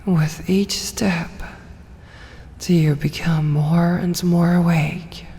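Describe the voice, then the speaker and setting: Vampire woman, played by an actress, ASMR roleplay: low, dark whisper, hypnotic, doting but commanding. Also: fairly even intonation. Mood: hypnotic, guiding. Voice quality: breathy, whispered. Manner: slow, coaxing, commanding yet doting. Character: possessive, seductive vampire woman mesmerizing her prey. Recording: long hall reverb, faint hiss and ambience.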